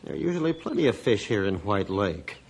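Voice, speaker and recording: A man's voice: fairly low pitch, very animated, fairly quick, a little mellow. An actor playing an uneasy, suspicious fisherman in a vintage radio drama, edgy and brooding. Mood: puzzled. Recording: old radio recording, faint noise.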